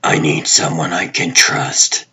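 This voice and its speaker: A man voicing a guarded, commanding TV producer who is secretly a superhero in a comic dub, speaking tersely and deliberately in a husky, rough voice. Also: a bass voice, big pitch swings, sharp tone, full character voice. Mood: serious, secretive.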